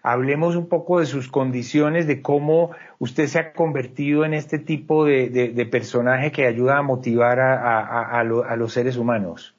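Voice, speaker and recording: A male host at a mid pitch interviews a guest and often searches for words with "eh" and repeated small words. The recording sounds somewhat dull and lo-fi. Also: fairly even intonation, normal speed, hardly any pauses. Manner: hesitant, with frequent fillers.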